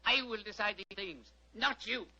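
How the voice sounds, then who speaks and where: Haughty imperial emissary, extremely high piercing voice, clipped commands, muffled old radio drama. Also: male voice, moderate pace, sharp tone, slightly rough, full character voice. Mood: commanding.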